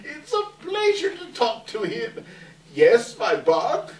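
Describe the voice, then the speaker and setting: An old gentleman, giddy and kindly, acted by a man on stage in a theatrical, exclaiming way. His pitch swoops widely and his pace is unhurried. It is heard from a distance in an echoey hall. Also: huge pitch swings, exaggerated voice. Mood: giddy, delighted. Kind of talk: readers theater stage acting.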